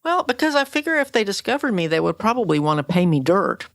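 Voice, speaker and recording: A woman's voice: mid-range voice, very animated, fast talker, no echo, studio quality. A woman talking conversationally as a podcast interviewer.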